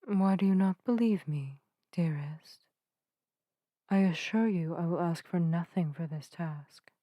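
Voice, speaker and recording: A woman playing a gentle, earnest witch healer in an ASMR audio roleplay, soft-spoken and soothing with old-fashioned, formal phrasing. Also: fairly low pitch, a little slow, no room sound, highs cut off. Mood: gentle, sincere. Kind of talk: narrative ASMR roleplay addressed to the listener. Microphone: close microphone in a dry space.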